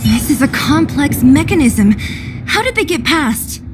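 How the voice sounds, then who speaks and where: A woman's voice: high-pitched, big pitch swings, unhurried, background noise. A woman playing a resolute young adventurer in a video game. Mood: focused, curious.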